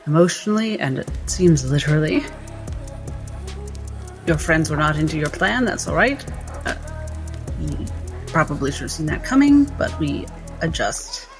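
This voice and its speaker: A woman's voice: deep voice, lively intonation, normal speed, pauses often, faint music behind. A woman playing a tabletop game, narrating her character's moves.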